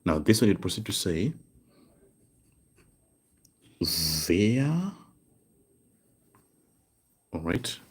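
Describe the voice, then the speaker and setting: A man teaching German online, slowly repeating each phrase word by word and checking in with "right?". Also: low-pitched, sing-song, breathy edge. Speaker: German tutor. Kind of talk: online language lesson, writing on cards. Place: desk indoors.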